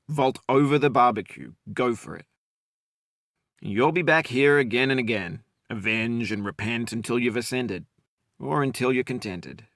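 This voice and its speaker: Middle-aged man, mid-pitched, calm and wry narrator, dry close sound. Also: no room sound. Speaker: game narrator. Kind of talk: reflective voice-over narration.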